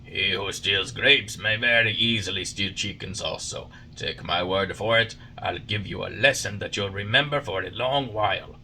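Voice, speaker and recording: Man playing a stern farmer: loud, rough, gravelly, scolding. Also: low voice, moderate pace, few pauses, a little hiss or hum, exaggerated voice. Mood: angry. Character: gruff, stern, angry grown male farmer.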